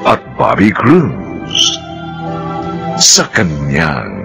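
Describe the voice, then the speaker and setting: Mabagal, garalgal na lalaking tagapagsalaysay, may malakas na musika. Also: male voice, low voice, wildly swooping pitch, gravelly. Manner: measured, theatrical. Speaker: narrator and announcer. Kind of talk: dramatic scripted radio narration. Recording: radio broadcast with loud music behind.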